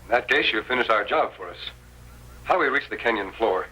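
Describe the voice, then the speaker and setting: A steady, commanding Western lawman in vintage radio style. He speaks quickly in a bright, forceful American voice, earnest and upright, over faint recording noise. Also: mid-range voice, low-quality recording. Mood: reassuring, brisk. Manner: crisp, earnest, authoritative. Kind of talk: old-time Western radio drama acting.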